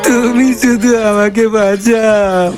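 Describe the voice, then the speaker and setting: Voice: mid-range voice, fairly even intonation, takes it slowly, a little mellow, natural voice. A wheedling cartoon voice over faint music, performed by an actor. The figure is a middle-aged male freeloader who pleads and whines with comic melodrama. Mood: desperate pleading.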